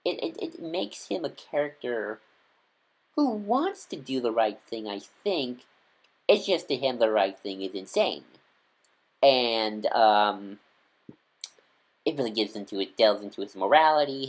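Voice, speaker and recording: A man chatting casually to camera as a devoted fan reviewer. He rambles with warm enthusiasm, stumbling over restarts and filling pauses with "um" and "uh". The delivery is loose and unscripted. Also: medium pitch, low-quality recording.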